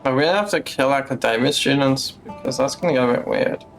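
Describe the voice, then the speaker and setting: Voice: medium pitch, fast talker. A streamer giving casual, blunt live commentary on a game. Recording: game music behind the voice.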